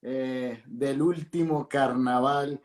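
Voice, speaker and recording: A man's voice: medium pitch, fairly even intonation, slow, darkish tone, echoey room. A male host speaking effusively and admiringly to his guest, with hesitant restarts. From Italy. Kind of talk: casual interview.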